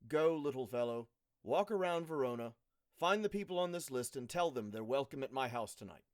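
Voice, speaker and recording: Guy playing older lord dad in an audio drama, courteous n measured, voice moves around a lot, middle pitch. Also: animated, lightly acted. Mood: commanding. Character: older noble father, courteous, protective, hospitable lord. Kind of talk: audio drama acting of modernized Shakespeare.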